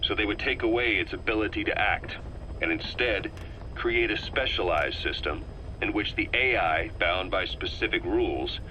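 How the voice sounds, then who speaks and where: An actor playing a shrewd, grave male spy in a video game, giving a recorded briefing in a measured, ominous, deliberate way. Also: fairly low pitch, bright, forceful tone. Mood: confiding, sober. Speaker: intelligence informant. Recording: noisy audio cassette tape playback.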